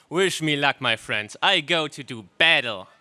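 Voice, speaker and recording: An actor playing a gallant, earnest prince in a melodramatic space serial radio play. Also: male voice, fairly high pitch, animated, a little slow, sharp tone, full character voice. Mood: resolute. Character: gallant, lovestruck heroic prince.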